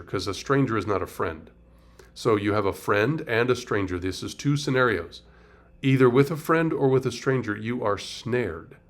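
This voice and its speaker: A middle-aged man, a pastor, teaching a conversational Bible study, breaking down word meanings and asking rhetorical questions. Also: lowish voice.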